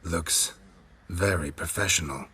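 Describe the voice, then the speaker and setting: A gruff fantasy game mercenary with an extremely low, heavily rasped voice. He is terse and dry, delivering short deadpan lines with a hard, forward edge. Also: measured pace, exaggerated voice. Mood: dry, matter-of-fact. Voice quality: gravelly, rasping low voice. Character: gruff, laconic male monster-hunting mercenary swordsman. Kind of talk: fantasy video game acting.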